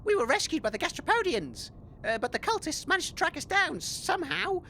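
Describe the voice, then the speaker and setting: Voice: high voice, sing-song, fairly quick, pushed and bright, slight background noise, full character voice. A performer playing a dim, hapless male DJ in a comedy audio drama, whiny and hesitant. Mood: alarmed.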